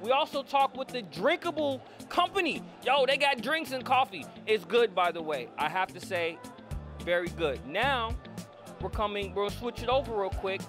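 A man with a high-set voice hosts a casual interview on a handheld microphone at a convention. He talks in an animated, slangy way and repeats phrases to hype things up. Music plays behind him. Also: normal speed. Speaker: show host interviewer. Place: indoor convention hall booth.

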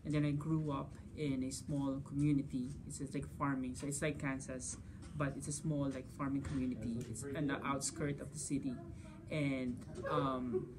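A man's voice: medium pitch, level tone, moderate pace. Male teacher in his 30s, casual interview, camera across the table, background hiss. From Philippines. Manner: gestures while talking, frequent "you know" and "like". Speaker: physics teacher. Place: school conference room.

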